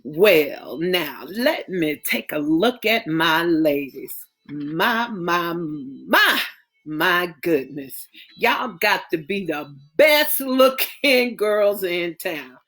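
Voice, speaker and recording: A woman's voice: lowish voice, animated, drawn-out words, a bit bright, pitched below the performer's own voice, heavily acted. A woman voicing a stern churchgoing mother of five daughters who scolds in a folksy, commanding way. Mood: proud teasing.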